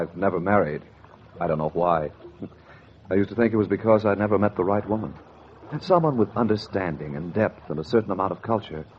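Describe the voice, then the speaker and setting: An actor playing a courteous, earnest bachelor suitor, polite and a little hesitant, in 1940s radio acting style. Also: male voice, lowish voice, lightly acted. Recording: muffled, faintly noisy 1940s radio recording.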